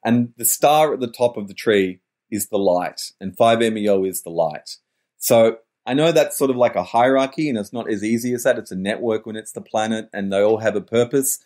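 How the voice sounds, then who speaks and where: A man's voice: fairly low pitch, fairly quick, a little mellow. Wordy, reflective man as a podcast guest. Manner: wordy, searching, self-correcting. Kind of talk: reflective interview talk.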